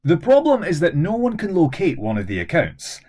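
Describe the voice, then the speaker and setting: Man voicing an earnest, husky young know-it-all. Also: a little high, wildly swooping pitch, moderate pace, darkish tone, pitched above the performer's own voice, exaggerated voice. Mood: explaining. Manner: emphatic, explanatory.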